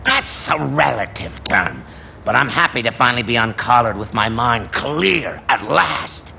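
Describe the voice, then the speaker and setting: A surly video game supervillain. The voice is gravelly and swings widely in pitch, full of sneering contempt for whoever he must work with. Faint noise sits behind it. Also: animated, a little slow, bright, forceful tone, heavily acted. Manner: sneering, hostile. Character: surly, contemptuous male supervillain forced into alliance. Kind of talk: video game character acting.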